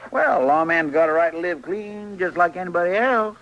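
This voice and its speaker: A man's voice: high-pitched, a little fast, fairly bright tone, highs cut off. A man acting a fussy, good-natured marshal's helper in an old radio western, talking in a folksy, earnest way with a rural American drawl. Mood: folksy, chatty. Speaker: radio drama actor. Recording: noisy vintage radio recording.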